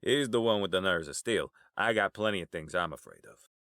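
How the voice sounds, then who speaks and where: An actor playing an easygoing, streetwise, affectionate uncle in an audio drama, talking in a familiar, colloquial way. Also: male voice, sits low, expressive, brisk, a bit bright, dry recording, clean studio sound. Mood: frank, encouraging.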